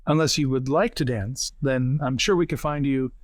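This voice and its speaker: A man's voice: medium pitch, moderate pace, no echo, studio quality. A man chatting casually as a player in a tabletop role-playing game.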